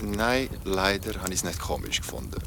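An actor playing a naive, eager young Swiss sailor in a radio play, casual and easily flustered. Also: male voice, low voice, animated, normal speed, sharp tone, slight background noise, heavily acted. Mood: defensive, excited.

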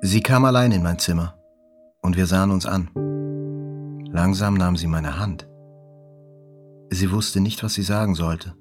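Audio drama lead: wounded male journalist, lowish voice, sober literary first-person narration, light music bed. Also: unhurried, long pauses. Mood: introspective, sober. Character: sober, wounded male journalist recounting his hospital stay. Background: faint music.

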